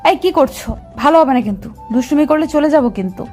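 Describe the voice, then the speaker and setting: Woman acting a high-voiced, bossy young sweetheart. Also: expressive, a little fast, a little mellow, faint music behind. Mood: coy, teasing. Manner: scolding, commanding, coy. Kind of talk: Bengali romantic audio story acting.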